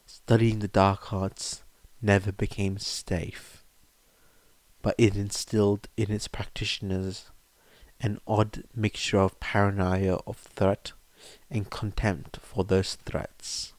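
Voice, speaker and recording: A man's voice: on the low side, flat delivery, takes it slowly, no echo. A man reading a story aloud as scripted narration. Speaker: audiobook-style fan fiction reader.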